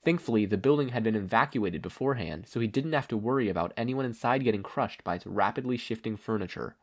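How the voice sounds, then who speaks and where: A man reading fan fiction aloud as an expressive, scripted narration. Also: on the low side, quick pace.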